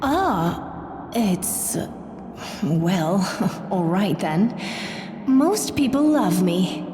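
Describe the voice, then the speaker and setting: A woman's voice: mid-range voice, expressive, drawn-out words. A woman playing a sweet, naive young girl in theatrical game dialogue, with a hesitant, dreamy manner. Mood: flustered. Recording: echoey hall, faint noise.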